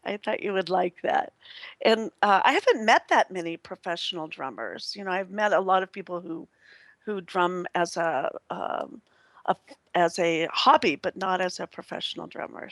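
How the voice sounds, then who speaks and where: A woman's voice: on the low side, normal speed, fairly bright tone, dry recording. A woman hosting a radio show in casual, unscripted talk, chatty and effusive as she meanders from thought to thought. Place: live internet radio broadcast.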